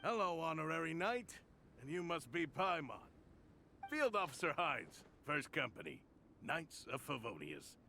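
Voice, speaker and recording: Polite military knight: mid-pitched, crisp-toned and bright, some fry, formal game delivery. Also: male voice, big pitch swings, measured pace, slight background noise, full character voice. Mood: formal, welcoming. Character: courteous, formal male knight officer. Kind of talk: video game voice acting.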